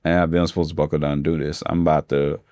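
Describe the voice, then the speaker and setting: A man in his thirties, a funding expert talking casually in a podcast interview, slangy and relaxed. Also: a bass voice, fast talker, no echo, studio quality. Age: 30s. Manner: laid-back slangy talk, calls listeners bro.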